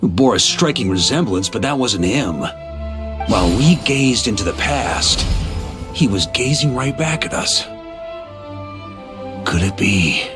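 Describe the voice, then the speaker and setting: An actor voicing an easygoing, improvising veteran knight leader in a video game, with a breezy manner. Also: male voice, on the low side, expressive, slow, long pauses. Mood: grave realization. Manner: breezy, hesitant asides between confident explanation. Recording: music behind the voice.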